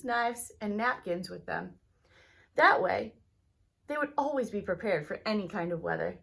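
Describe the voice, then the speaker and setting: Higher-pitched woman reading a children's storybook aloud. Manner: talks directly to young listeners. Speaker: teacher reading a picture book aloud to children.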